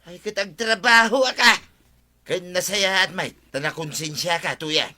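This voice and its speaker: A man's voice: sits high, measured pace, bright, forceful tone, full character voice. An actor with an Ilocano accent playing a teasing, insistent village man in a radio comedy drama.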